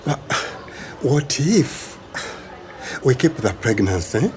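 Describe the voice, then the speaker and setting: A man's voice: mid-range voice, sing-song, slow, darkish tone, soft background music. A man with a Ugandan accent playing a devout, stubborn husband and church leader in a radio drama, rigid and indignant in manner.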